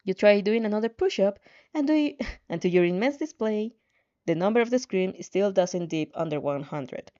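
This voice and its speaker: A woman reading a story aloud as an amateur narrator, expressive and sometimes stumbling over words. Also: medium pitch, normal speed, lo-fi sound. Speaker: fan fiction reader.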